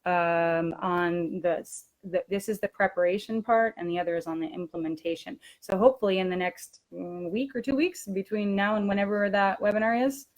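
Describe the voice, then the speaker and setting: A woman's voice: mid-range voice, fairly even intonation, moderate pace. A woman talking casually as she presents a webinar for language teachers.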